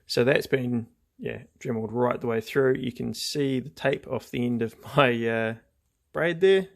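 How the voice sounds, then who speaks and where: Gravelly New man, casual tutorial. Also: medium pitch, a little slow. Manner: informal, laid-back, explaining step by step. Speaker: craft tutorial host. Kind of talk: casual hands-on demonstration.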